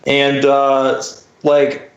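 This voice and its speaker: A man's voice: mid-range voice, monotone, extremely slow, dull audio. A man in his thirties telling a personal story as a podcast guest in a casual, wry, self-deprecating way. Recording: roomy, echoey podcast recording.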